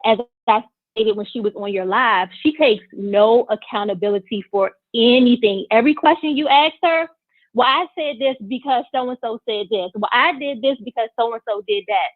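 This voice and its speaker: A woman calling in to give a quick, emphatic opinion. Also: fairly high pitch, no room sound. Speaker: caller. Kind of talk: casual phone-in chat. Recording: phone line.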